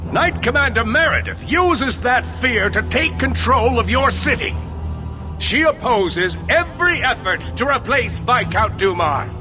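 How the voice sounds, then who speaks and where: An actor playing a proud, indignant mage leader in a fantasy game, speaking in a formal, oratorical way. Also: male voice, very high voice, expressive, unhurried, music in the background. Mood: defiant, rallying. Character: proud, impassioned male mage leader rallying against tyranny. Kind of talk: theatrical fantasy game acting.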